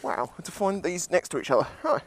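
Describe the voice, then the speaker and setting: A man's voice: sits high, animated, fairly quick. A British man giving casual, jokey, sweary commentary as he vlogs outdoors on a seashore. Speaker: beachcombing vlogger.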